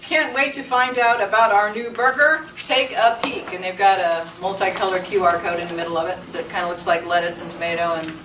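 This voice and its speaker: A woman's voice: mid-range voice, steady tone, brisk, few pauses. A woman giving an informal, conversational workshop presentation to a small group, explaining things plainly. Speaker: presenter at a business meetup. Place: meeting room with a small group. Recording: roomy, distant mic with clear noise.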